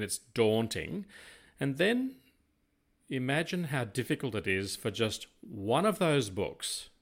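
An older Australian man co-hosting a casual podcast, talking in a rambling, deliberate way. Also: on the low side, fairly bright tone. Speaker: podcast co-host.

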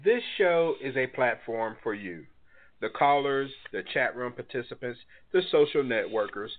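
A man hosting a call-in radio talk show, speaking in a measured, earnest way with some fillers and sounding a little stuffed up from a cold. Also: medium pitch, animated. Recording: tinny phone-line sound.

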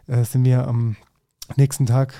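A man's voice: mid-range voice, little pitch movement, a little slow, creaky, dark tone, clean tone. A man co-hosting a food podcast, talking casually with informal slang and the odd English phrase.

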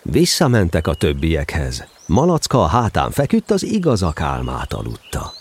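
A man narrating a children's story as an expressive, scripted audio drama narrator. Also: low voice, a little hiss or hum.

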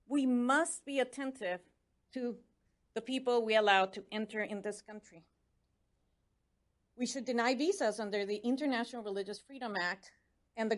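A woman whose first language is Spanish, speaking with a Spanish accent as she gives a prepared speech as a commissioner, earnest and urging. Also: fairly high pitch. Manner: earnest, urging, stumbles over foreign names. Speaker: commissioner on religious freedom.

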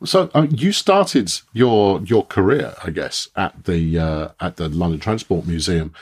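A man's voice: low voice, lively intonation, unhurried, a little mellow, breathy edge. A man hosting a podcast, putting questions to a guest in a conversational interview style.

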